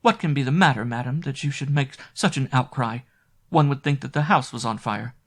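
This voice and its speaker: A man's voice: medium pitch, a little fast, voice pushed up, lightly acted. A man voicing a tender, anxious young inn maid in a storybook reading. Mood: flustered. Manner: soft, urgent, breathless warnings.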